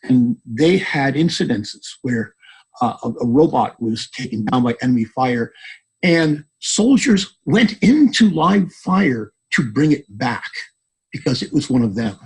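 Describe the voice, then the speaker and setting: A man is talking as a guest author in a podcast interview. His voice is very husky and rough at a middling pitch. He speaks hesitantly, often repeating a word before he moves on. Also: very animated, a little mellow, poor audio. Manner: halting, hesitant, repeating words.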